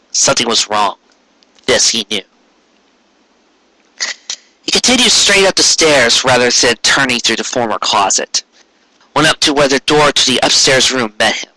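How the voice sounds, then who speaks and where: A man's voice: medium pitch, sharp tone, dry recording, low-quality recording. A man reading a story aloud in a dramatic narrating style. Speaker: fan fiction reader.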